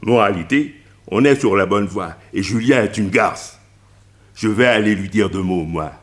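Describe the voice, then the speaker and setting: An actor playing a brave, loyal detective's sidekick, blunt and protective, in a radio drama. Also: male voice, lowish voice, normal speed, fairly bright tone, natural voice. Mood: blunt anger.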